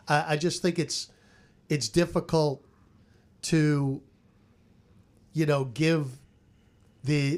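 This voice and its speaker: A man with a fairly high voice chats about sports as a panelist. He is conversational, often doubling back on his phrases as he works out a point. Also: a little mellow. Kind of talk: casual sports discussion.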